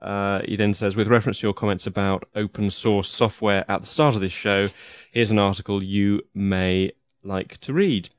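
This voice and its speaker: A man's voice: fairly low pitch, steady tone, normal speed, no room sound. Chatty man hosting a podcast. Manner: chatty, with frequent fillers and restarts. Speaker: podcast host. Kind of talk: casual podcast narration.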